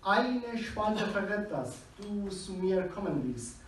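A man with a Persian accent recites his poems slowly on a small stage, pausing for effect. His voice sits a little high for a man. The sound was picked up from far back in the room, with strong echo and faint background noise. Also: very animated. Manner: slow, measured recitation with dramatic pauses. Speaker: poet. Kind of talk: poetry reading on stage. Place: small venue with a live audience. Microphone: handheld mic on a stand, recorded from far in the room.

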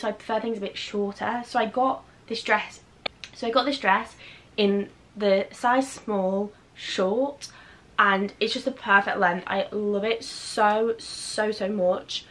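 A young woman, slightly croaky from a chest infection, chatting casually and rambling with lots of fillers as a fashion vlogger in her bedroom. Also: medium pitch, a little slow, a bit bright. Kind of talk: casual chat. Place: bedroom, indoors.

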